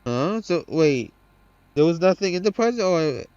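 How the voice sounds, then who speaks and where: A male gamer with a smooth, fairly high voice talks casually through his play, thinking out loud in halting bursts. He is close to the mic in a dry, slightly dull recording. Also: expressive, dry recording. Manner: hesitant, thinking aloud, profane. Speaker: gaming streamer. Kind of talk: casual let's play commentary.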